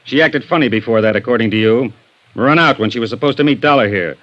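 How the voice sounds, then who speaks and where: An actor playing a gruff, impatient male police sergeant who bullies and needles witnesses, in a 1950s radio drama. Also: mid-range voice, fast, natural voice. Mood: badgering. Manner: bullying, sarcastic interrogator. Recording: dull lo-fi old radio recording.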